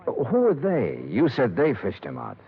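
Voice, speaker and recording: An American actor playing a terse, skeptical, world-weary insurance investigator in a 1950s radio detective drama. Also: male voice, medium pitch, wildly swooping pitch, measured pace, creaky, exaggerated voice. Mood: stern. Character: hard-boiled, skeptical male insurance investigator. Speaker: lead radio actor and narrator. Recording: old radio recording, narrow band, hissy.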